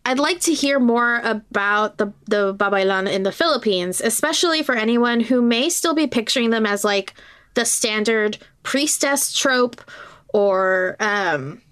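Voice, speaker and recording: A woman hosting a casual podcast interview, speaking informally with halting, appreciative phrasing. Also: a little high, a bit bright, smooth.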